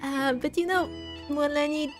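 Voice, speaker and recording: A woman's voice: high-pitched, steady tone, unhurried, soft background music. A woman giving casual, reflective let's-play commentary, musing aloud as she plays. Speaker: gaming video host.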